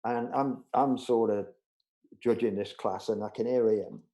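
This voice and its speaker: Guest role: middle-aged man, mellow tone, rambling anecdotal delivery. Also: fairly low pitch, fairly even intonation, unhurried. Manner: chatty, anecdotal, frequent restarts and fillers. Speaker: budgerigar show judge, interview guest. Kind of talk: casual storytelling interview.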